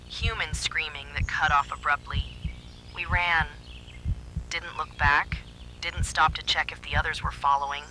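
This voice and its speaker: Very bright, tense male horror narrator. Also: a little high, steady tone, a little slow, low-quality recording. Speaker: first-person narrator. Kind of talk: scripted horror story narration. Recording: faint background hum and noise.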